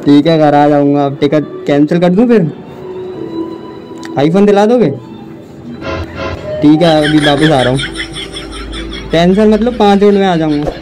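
A man's voice: a little high, measured pace, pauses often, over music. A young man doing a prank phone call in a whiny, argumentative, childlike way inside a lift. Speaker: prankster making fake phone calls. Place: inside a crowded metal passenger lift.

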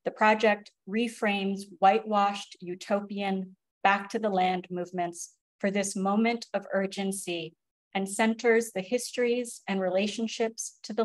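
A woman reading a prepared project statement in a measured, formal way on a video call. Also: medium pitch, rough recording. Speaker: artist presenter.

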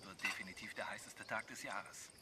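A man acting as a flippant, flirty radio host in an audio play. His voice is fairly low. The broadcast has clearly audible noise behind it. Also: fairly even intonation, brisk, lightly acted. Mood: playful, flirty. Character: flippant, smooth-talking local radio DJ.

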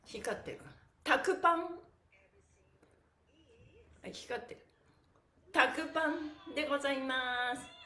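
A woman's voice: high-pitched, measured pace, pauses often, reverberant, a little hiss or hum. A middle-aged woman hosting an upbeat livestream exercise class, chirpy, encouraging and full of exclamations, recorded a little way from the device in a small bare room. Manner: animated, chirpy, exclaiming and encouraging, often laughing. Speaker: pantomime and stretching instructor, livestream host. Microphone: device mic a step or two away.

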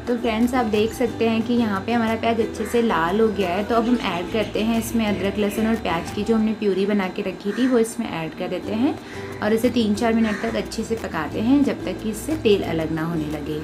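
A woman's voice: mid-range voice, a little fast. A woman with an Indian accent giving step-by-step cooking instructions as a home recipe host, often addressing listeners directly. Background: prominent background music.

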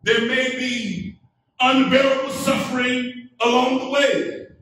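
A middle-aged man preaching a sermon in unhurried, deliberate phrases, his voice sitting unusually high for a man. He builds to emphatic peaks that invite call-and-response. The sound is heavily echoey, as if heard from the back of a church sanctuary. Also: fairly even intonation, a bit bright, far from the mic, slight background noise. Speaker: pastor. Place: church sanctuary, indoors, medium-sized.

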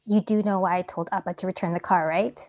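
A young woman playing a frustrated, defensive grown daughter, sharp and exasperated, on a video call. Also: lowish voice, fairly even intonation, quick pace, muffled recording, lightly acted.

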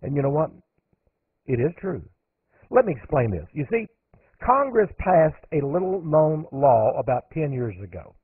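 A man's voice: high voice, breathy edge, no echo. A man hosting a radio monologue, talking emphatically and climbing sharply on the points he stresses. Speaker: radio host. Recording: narrow phone-like radio audio, dry.

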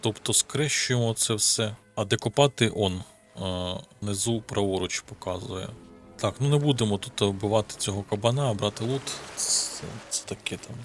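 Low-voiced Ukrainian man commentating gameplay. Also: normal speed. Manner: offhand, muttering to himself, casually swearing. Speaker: gaming video host. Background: game music.

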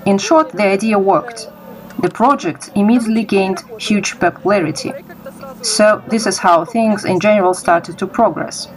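Young woman from Kazakhstan, mid-pitched voice, informal explanatory interview delivery. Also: normal speed, rough recording. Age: young adult. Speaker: interviewee, project creator.

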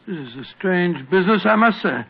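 A man's voice: high-pitched, expressive, fairly quick, slightly rough, heavily acted. An actor playing a bluff, good-natured older male doctor, hesitant and stammering, in a 1940s radio drama. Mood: puzzled. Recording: tinny old radio broadcast.

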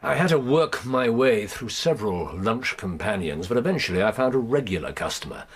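An actor with an English accent playing a precise, dry-witted, commanding gentleman detective in a radio drama. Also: on the low side, animated, brisk, breathy edge. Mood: dry, incisive.

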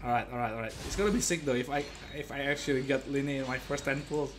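A young adult man giving casual, playful gaming commentary to his viewers like an excitable showman. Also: medium pitch, fast talker, noisy background. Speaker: game streamer. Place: indoor gaming setup at home. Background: game music.

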